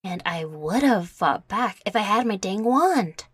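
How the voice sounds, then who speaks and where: Woman acting feisty husky young fairy. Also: mid-range voice, expressive, measured pace, no echo, clean studio sound. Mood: indignant, boastful. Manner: brash, sarcastic, boastful. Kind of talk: acted audio roleplay.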